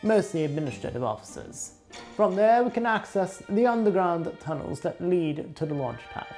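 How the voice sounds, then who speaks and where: A woman's voice: on the low side, lively intonation, normal speed, darkish tone, close to an everyday voice. Woman playing cool wartime secret agent, music behind. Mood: briefing, serious. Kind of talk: fan audio drama acting.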